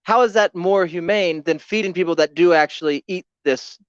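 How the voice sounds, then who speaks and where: A man co-hosting a comedy podcast, tossing out quick jokey asides and wordplay. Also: sits high, clear, resonant tone, no echo. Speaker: podcast co-host. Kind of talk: casual comedic banter. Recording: dull lo-fi podcast audio.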